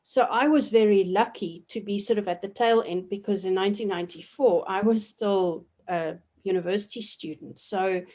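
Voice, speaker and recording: A woman in her forties from South Africa, a professor giving measured, reflective answers in an interview, often pausing with ums and restarting her sentences. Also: mid-range voice, darkish tone. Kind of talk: thoughtful academic interview answers.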